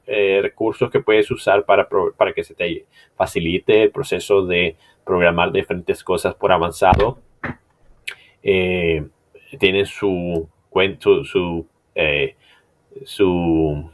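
Low-voiced man giving a chatty screen tutorial. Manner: loose, chatty, many fillers and restarts. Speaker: marketing instructor.

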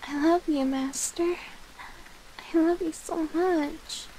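A shy, clingy catgirl familiar, acted by a woman for an ASMR roleplay. The voice is very high and slow, with flustered, halting, pleading delivery. There is some faint noise behind it. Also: fairly even intonation, dark tone, poor audio. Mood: playful, flustered.

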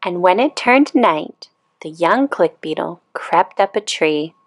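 A woman reading a children's picture book aloud as a calm, expressive storyteller. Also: lowish voice, slow.

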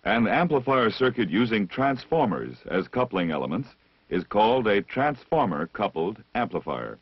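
A man narrates a vintage instructional film in a slightly low, animated voice with deliberate, didactic phrasing. His tone is a little husky, and the old soundtrack is dry and narrow, dulling the sound. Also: no room sound. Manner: measured, didactic, deliberate enunciation. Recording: dull, band-limited vintage film soundtrack.

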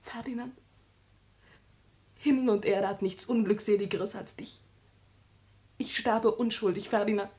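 A woman's voice: mid-range voice, steady tone, measured pace, long pauses, a little mellow, slight background noise, natural voice. An actress playing an innocent, pious, desperate young woman near death in a 1950s German radio tragedy, impassioned and pleading. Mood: faint, praying.

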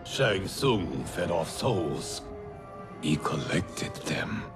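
An actor playing a grave warrior god in a video game, speaking in a solemn, ominous way. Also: male voice, low voice, sing-song, drawn-out words, exaggerated voice. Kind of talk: cinematic video game acting. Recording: music behind.

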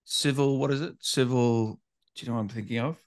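A man with an average-pitched voice hosts a relaxed interview. He phrases things informally and a little tentatively, like someone chatting with a guest. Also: fairly quick, no echo. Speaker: interviewer, gaming channel host. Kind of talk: casual interview chat.

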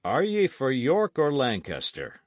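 A man's voice: medium pitch, wildly swooping pitch, unhurried, no echo, voice pushed up. A man voicing a stern but fair-minded English earl in an audiobook, with formal, weighty, archaic speech. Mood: measured, approving.

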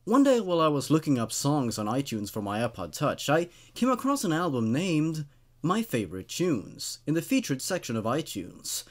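Man in his twenties, horror story host, very lively intonation, medium pitch, dramatic reading, wry casual asides, close studio mic in a small room. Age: 20s. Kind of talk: expressive story narration with casual commentary. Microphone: studio condenser microphone on a stand.

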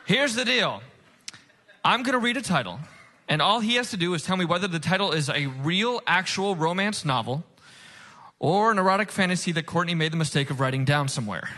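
A man's voice: sits high, expressive, a little fast, sharp tone, slight background noise. A man hosting a comedy game show on stage, with a showy, emphatic announcer delivery. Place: stage before a live audience.

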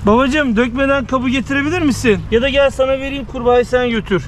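A man's voice: very high voice, fast. A man in his thirties hosting a fishing vlog, chatting playfully with his son while catching creatures by a stream. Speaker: fishing channel host. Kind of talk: casual outdoor vlog talk to camera. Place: outdoors by a park stream. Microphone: action camera on the body. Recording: outdoor camera audio with steady background noise.

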